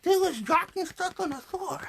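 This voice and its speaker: A man's voice: very high-pitched, moderate pace, creaky, voice pushed up. A man voicing a chatty, sassy young girl puppet who tells stories and complains in colloquial speech. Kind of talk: improvised puppet show storytelling.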